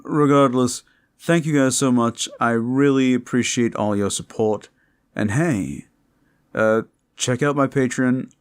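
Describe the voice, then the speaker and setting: A man who makes roleplay audio, speaking casually and a little haltingly as he thanks his listeners. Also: lowish voice. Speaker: audio roleplay creator and performer. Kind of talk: casual outro addressed to listeners.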